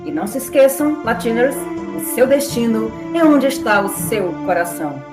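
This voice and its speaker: A woman's voice: on the high side, big pitch swings, normal speed, music in the background. A woman reading scripted narration for a radio drama.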